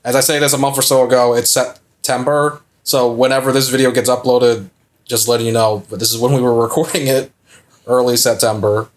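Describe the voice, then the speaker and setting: A man's voice: medium pitch, fairly even intonation, a little fast. A young man in his twenties co-hosting a gameplay video, chatting casually with laughs and rambling restarts. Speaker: let's play co-host.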